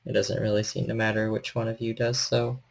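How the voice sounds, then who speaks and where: A man's voice: on the low side, flat delivery, brisk, vocal fry. A man narrating a tabletop horror game as its storyteller and host.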